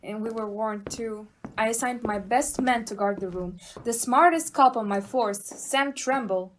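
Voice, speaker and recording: A woman's voice: fairly high pitch, a little slow, slight background noise, natural voice. A woman acting an agitated, emphatic female police inspector in an amateur audio play.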